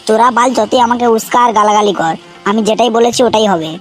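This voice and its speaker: A woman voicing a stubborn, foul-mouthed male club boss in a comic cartoon, talking in rapid, coarse slang. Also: on the high side, soft background music, close to an everyday voice.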